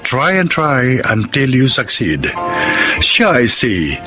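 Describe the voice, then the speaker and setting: A man's voice: mid-range voice, wildly swooping pitch, takes it slowly. A man with a Filipino accent announcing and introducing a radio drama in a formal scripted style. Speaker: announcer and narrator. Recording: loud music over the voice.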